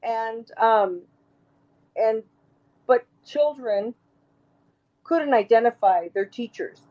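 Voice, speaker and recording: Raspy woman on a muffled line. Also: on the high side, animated, slow. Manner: emphatic, rambling with restarts. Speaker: interview guest. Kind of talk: casual interview talk.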